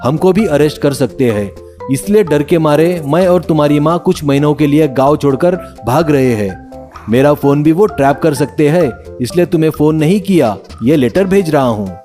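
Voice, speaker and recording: A man's voice: a little high, expressive, normal speed, mellow, soft background music, natural voice. A man voicing a worried father of two grown sons in a storybook tale. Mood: grave. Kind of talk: storybook dramatized narration.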